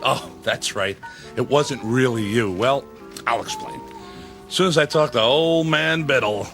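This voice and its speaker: An actor playing a cocky, carefree office worker with a flippant, glib delivery in a radio drama. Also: male voice, medium pitch, a little slow, fairly bright tone, faint music behind. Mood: flippant, flirty.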